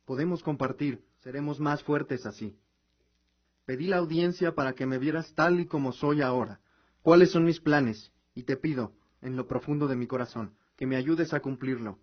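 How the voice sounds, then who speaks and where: A man's voice: medium pitch, moderate pace, natural voice. A man playing an earnest, idealistic young prince and son in a scripted audio drama. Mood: earnest pleading. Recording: dull lo-fi recording.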